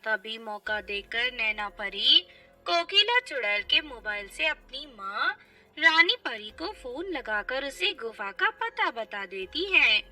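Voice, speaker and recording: A woman's voice: fairly high pitch, big pitch swings, takes it slowly, pushed and bright. A woman telling a scripted cartoon story as its narrator.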